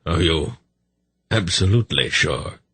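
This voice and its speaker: A gruff, testy old man speaks slowly and low, with a menacing quiet weight, as a male reader voices him. His voice is deep and husky, and it sounds dry and close. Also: lively intonation, dry recording, full character voice. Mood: stern.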